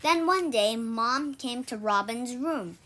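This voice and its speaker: A woman reading a children's story aloud, haltingly, often stumbling over and repeating words. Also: on the high side, lively intonation, pushed and bright, a little hiss or hum. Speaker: storybook reader for an online read-aloud.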